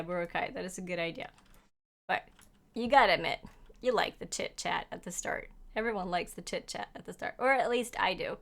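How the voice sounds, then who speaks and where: A young woman who speaks Norwegian as her first language chats casually with viewers while playing, reading their messages aloud and laughing often. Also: medium pitch. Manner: chatty and giggly, laughs often; talks to chat while playing. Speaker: game streamer.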